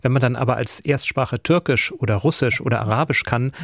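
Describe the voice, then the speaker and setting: A man's voice: mid-range voice, fairly quick, creaky, a bit bright, dry recording, clean studio sound. A man co-hosting a podcast who asks a guest questions with curiosity. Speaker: podcast co-host. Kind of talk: interviewing a guest.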